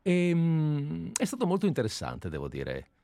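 A man's voice: on the high side, wildly swooping pitch, measured pace, warm tone, no room sound, studio quality. Chatty man hosting live radio. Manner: chatty, digressive storyteller. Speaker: radio host. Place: radio studio.

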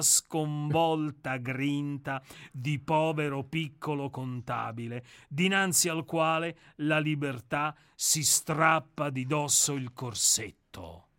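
A man's voice: a little high, sharp tone, clear, resonant tone, dry recording, exaggerated voice. A man reading a radio play aloud, voicing a cynical, menacing novelist with a grandiloquent, mocking manner. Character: cynical, menacing male novelist, coldly theatrical. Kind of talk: dramatic reading.

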